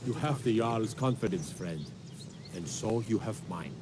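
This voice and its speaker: A man's voice: on the low side, expressive, unhurried. An actor playing a respectful, formal townsman in a fantasy video game, with noisy outdoor ambience around him. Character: respectful townsman greeting a thane. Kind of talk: video game character dialogue.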